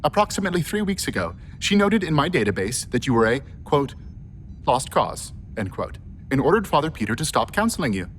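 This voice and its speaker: An actor playing an earnest, literal-minded ship's computer in a radio play, speaking in precise, stilted phrases. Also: male voice, mid-range voice, big pitch swings, brisk, a bit bright, slight background noise. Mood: earnest, matter-of-fact.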